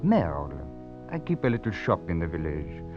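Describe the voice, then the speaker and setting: Mysterious ingratiating merchant, low pitch, expressive swings, 1940s radio acting. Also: male voice. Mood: suave, reassuring. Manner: suave, unhurried, ingratiating. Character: smooth-talking, flattering, mysterious village shopkeeper. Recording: muffled old radio recording with music behind.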